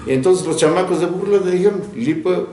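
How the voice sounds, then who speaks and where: An older man from Mexico, a retired teacher, recalling his childhood in an interview, reflective and often laughing, with halting restarts. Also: high voice, warm tone, reverberant. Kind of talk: recounting childhood memories.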